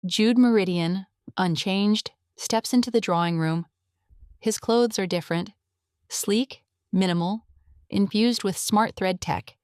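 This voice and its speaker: A woman narrating a scripted audio drama in a measured, atmospheric storytelling manner. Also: medium pitch, a little mellow, clean tone, no room sound.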